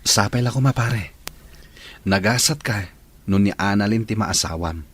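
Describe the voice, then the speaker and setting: A man's voice: lowish voice, normal speed, fairly bright tone, breathy edge. A man playing a devoted, sentimental young boyfriend in an Ilocano audio drama. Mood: earnest.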